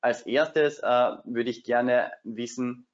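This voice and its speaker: A man moderating an online webinar, pausing often with filler sounds. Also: medium pitch, fairly even intonation, dull audio. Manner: frequent filler hesitations.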